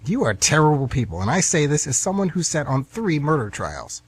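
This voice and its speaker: A man's voice: a little high, very animated, brisk, breathy edge. A man playing a pompous, easily offended would-be novelist in his fifties in an amateur radio comedy. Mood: indignant, lofty. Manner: pompous, grandiloquent, indignant. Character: pompous, defensive 52-year-old aspiring novelist man.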